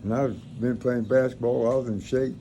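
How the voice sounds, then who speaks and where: Older male, gravelly, plain-spoken storyteller, informal, noisy recording. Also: medium pitch, steady tone, normal speed, mellow. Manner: folksy, plain-spoken, rambling. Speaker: grandfather recounting his military past. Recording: noisy room, clear background noise.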